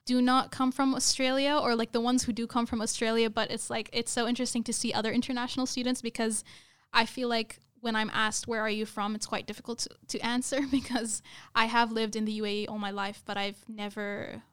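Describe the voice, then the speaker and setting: A young woman with a fairly high, clear, smooth voice speaks as an interview guest. She is earnest and effusive, talking conversationally and doubling words for emphasis. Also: little pitch movement, brisk, a bit bright. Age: young adult.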